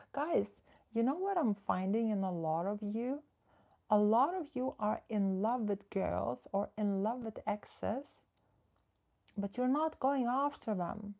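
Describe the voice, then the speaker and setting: A woman's voice: medium pitch, creaky, darkish tone. A woman from the Netherlands, a relationship coach, chatting casually on a livestream, reading viewers' comments and giving direct advice.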